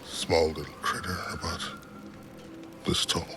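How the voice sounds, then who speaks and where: An actor playing a weary, grieving father and war survivor in a video game cutscene, with a low, gruff delivery. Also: flat delivery, a little slow, music in the background. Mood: somber, wistful.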